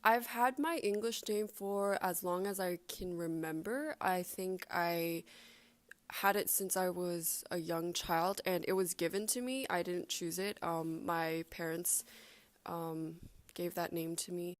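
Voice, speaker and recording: A young woman answering interview questions in a calm, measured way. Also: lowish voice, no room sound. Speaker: student, interviewee.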